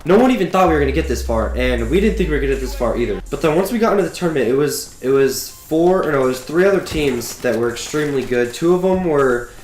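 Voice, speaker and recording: A man's voice: fairly high pitch, animated, fast, hardly any pauses, darkish tone, roomy sound. A teenage boy whose voice sounds a bit sick, casually telling a story to his webcam with lots of fillers and restarts. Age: teens. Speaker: gaming YouTuber.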